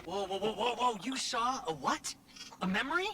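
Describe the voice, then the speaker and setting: An actor playing an eager, shifty little robot informant in a video game, stammering and repeating words excitably. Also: male voice, high voice, moderate pace, a little hiss or hum, heavily acted. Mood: excited, eager. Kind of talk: animated game dialogue.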